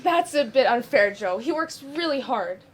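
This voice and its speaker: Casting: young woman as reasonable, caring student friend, high voice, amateur stage play, faint noise. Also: expressive, normal speed. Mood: chatty. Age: teenager or young adult student performer.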